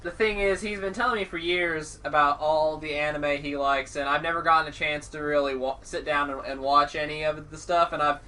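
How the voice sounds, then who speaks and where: A man co-hosting a podcast in casual chat, speaking in a halting way that circles back on his thoughts. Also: on the high side, fairly even intonation, sharp tone. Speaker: podcast co-host. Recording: distant mic with background noise.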